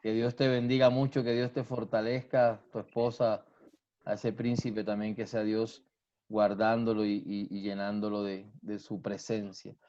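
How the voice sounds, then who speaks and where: A man's voice: on the low side, level tone, a little slow, warm tone. A man, a pastor who prays and blesses people fervently over a video call, repeating his phrases. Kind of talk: preaching and greeting viewers online. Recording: dull muffled online stream audio.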